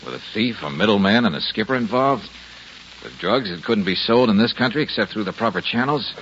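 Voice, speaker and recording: Casting: wisecracking, self-assured detective, bright forceful low voice, old-time radio thriller. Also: male voice, lively intonation, fairly quick. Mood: wry, explaining. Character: confident, wry male private detective. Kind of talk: 1950s radio detective drama acting. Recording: dull, noisy old radio recording.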